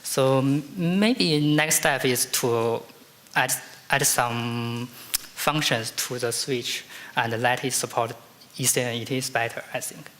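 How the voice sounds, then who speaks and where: A man answers audience questions at a conference podium microphone. His voice is bright and sharp, and he answers in halting bursts with many fillers. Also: medium pitch, a little hiss or hum. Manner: halting, with repeated fillers and restarts. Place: large conference hall.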